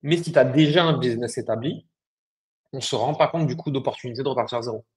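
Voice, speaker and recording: A man talks business on a podcast. He speaks quickly, with a mid-pitched voice, and his explanations are blunt and full of slang. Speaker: podcast co-host, agency founder. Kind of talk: casual business chat.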